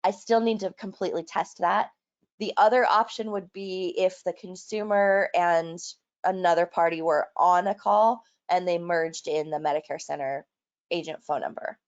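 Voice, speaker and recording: A woman presenting a software walkthrough in an online training, explaining in a businesslike way. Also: on the low side, fairly even intonation, moderate pace. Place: online video call or webinar. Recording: muffled, lo-fi online call recording.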